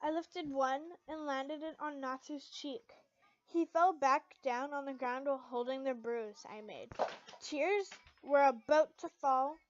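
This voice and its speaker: High-voiced woman reading fan fiction, muffled recording. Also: measured pace, dry recording. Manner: stumbles and corrects words. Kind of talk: dramatic amateur story reading.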